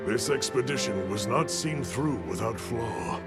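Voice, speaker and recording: An actor playing a grave, commanding guild leader in a video game, middle-aged, speaking formally and deliberately with a gravelly voice. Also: male voice, lively intonation, over loud music. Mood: measured, resolute. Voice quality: deep, gravelly. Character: grave, commanding senior male guild leader, measured and authoritative. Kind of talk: dramatic video game cutscene acting.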